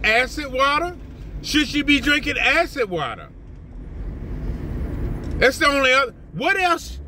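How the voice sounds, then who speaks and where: A man's voice: measured pace, a little hiss or hum. Fired-up older man ranting from his car. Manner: animated, indignant, repeats himself, jumps up in pitch when worked up. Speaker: vlogger. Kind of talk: ranting car vlog to camera. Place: parked car, daytime. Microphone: phone camera at arm's length.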